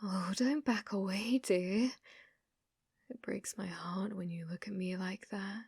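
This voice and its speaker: Woman voicing obsessive stalker cashier, young British female, slow pace, intimate ASMR roleplay, hesitant turning quietly menacing, dry recording. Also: medium pitch, fairly even intonation, no echo, full character voice. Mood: lovestruck, obsessive. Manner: halting, flustered, slipping into breathy menacing whispers.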